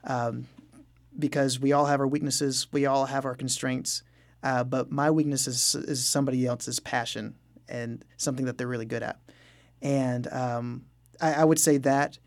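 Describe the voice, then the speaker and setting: A man's voice: medium pitch, flat delivery, normal speed. Earnest man in a conversational interview. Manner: earnest, reflective. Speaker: musician interview guest.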